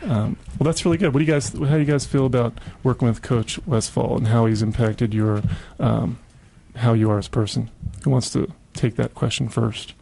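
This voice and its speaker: A man's voice: mid-range voice, fairly even intonation, fairly quick, vocal fry, hardly any pauses, dark tone, slightly rough. An American man hosting a casual community radio interview, chatting and murmuring agreement as he goes. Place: radio studio.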